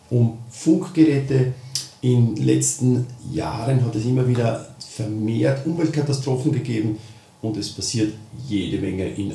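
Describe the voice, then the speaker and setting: A man hosting a casual product review, speaking deliberately with emphasis on key words. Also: mid-range voice, warm tone. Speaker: host of a fishing channel. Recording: roomy echo, distant microphone.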